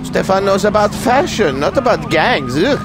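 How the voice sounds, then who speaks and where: An actor playing a vain, effete European fashion consultant in a video game, haughty and speaking of himself in the third person. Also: male voice, high-pitched, big pitch swings, normal speed. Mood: nervous, protesting. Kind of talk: video game character acting. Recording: loud game music, car and street noise behind.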